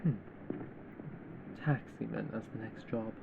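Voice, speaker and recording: A man playing a possessive captor in an ASMR roleplay, making terse, cynical, musing commentary in a creaky voice. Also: lowish voice, steady tone, a little slow, lo-fi sound, very noisy. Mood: dry, musing. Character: possessive male captor drily commenting on an old film.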